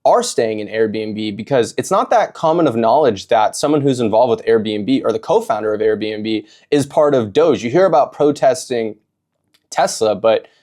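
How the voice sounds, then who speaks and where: A man's voice: medium pitch, expressive, normal speed, darkish tone. Male host narrating over protest footage, casual and opinionated, often restarts his sentences. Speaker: video host reacting to own protest footage. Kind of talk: reaction commentary.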